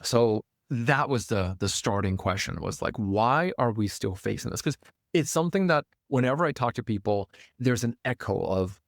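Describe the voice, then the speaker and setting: A man's voice: medium pitch, animated, moderate pace, dry recording, studio quality. A man born in Taiwan, hosting a solo podcast in a casual, conversational way with many fillers and restarts. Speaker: podcast host and author.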